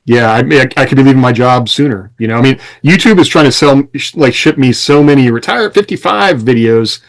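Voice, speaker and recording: A man's voice: medium pitch, lively intonation, fairly quick. A man talking casually as a podcast co-host, explaining things and reflecting through personal anecdotes.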